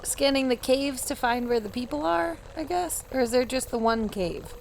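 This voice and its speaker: A woman's voice: high voice, moderate pace, gravelly, a little hiss or hum, poor audio. A woman playing a tabletop game, chatting casually and improvising as she goes.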